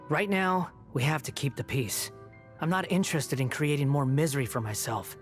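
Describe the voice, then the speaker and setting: Haughty, sardonic young antihero. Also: male voice, fairly high pitch. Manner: sarcastic, dismissive, curt. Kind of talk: video game voice acting.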